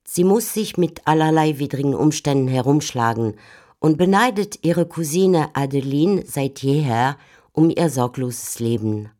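A commentator with a medium-pitched voice reads scripted literary commentary. The delivery is that of an explanatory radio essay. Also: a little slow, darkish tone.

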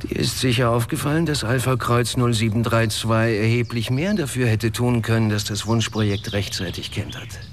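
An actor playing a proud, bitter man in a radio drama, speaking standard German at a middle pitch. His delivery is sardonic and cutting. Clear outdoor-like ambience with animal sounds sits behind him. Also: steady tone, normal speed, few pauses, slight background noise, natural voice. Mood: dry, mocking. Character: bitter, proud paralysed inventor, a cynical man.

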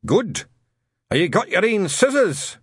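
A man voicing a testy older man with a shifting British regional accent who rumbles and barks questions. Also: sing-song, measured pace, a bit bright, husky, dry recording, studio quality, heavily acted. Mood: gruff. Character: gruff, testy older man, a shouting drill-sergeant type.

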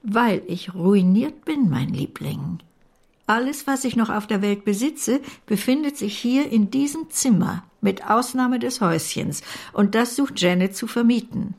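An older actress in a German radio play, playing a brusque, eccentric but fond great-aunt with a blunt, imperious way of talking. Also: female voice, fairly high pitch, expressive, measured pace, creaky, a little mellow, exaggerated voice. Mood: grave, stoic.

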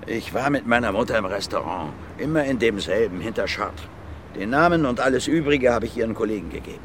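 An actor playing a gruff, blunt violent brawler in his fifties under questioning in a radio drama, plain-spoken and repetitive. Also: mid-range voice, animated, brisk, noisy background, natural voice. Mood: defensive, earnest. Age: 50s. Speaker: suspect being questioned.

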